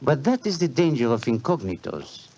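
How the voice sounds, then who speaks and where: A suave French police inspector with a wry, urbane manner and a French accent. His pitch moves freely through each phrase, in a muffled old radio drama recording. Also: very animated, moderate pace, darkish tone. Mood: stern, accusing. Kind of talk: 1940s radio drama acting.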